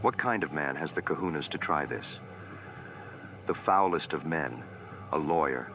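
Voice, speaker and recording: A man's voice: very deep voice, normal speed, pushed and bright, dull audio, slight background noise. A man as anthology host, giving a scripted introduction to a horror episode.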